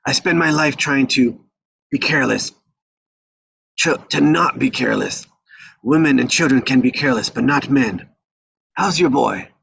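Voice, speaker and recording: A man playing a weary, forgetful old mafia patriarch in a script read, speaking in a rambling, halting way. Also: medium pitch, creaky, a bit bright, slight background noise, poor audio. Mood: reflective. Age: older. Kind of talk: live table read of a crime film script.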